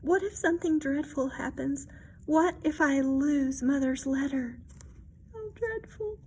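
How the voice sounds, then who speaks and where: Woman playing little storybook kitten, very high voice, worried, childlike, wide-eyed wondering, noisy background. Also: animated, measured pace, warm tone. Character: anxious, imaginative little kittens in a picture book.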